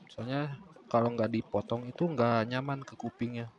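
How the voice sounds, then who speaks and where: A man's voice: mid-range voice, fairly even intonation, moderate pace, dull audio, slight background noise. A man narrating a casual DIY tutorial in an explanatory way, often repeating phrases. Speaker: DIY vlogger.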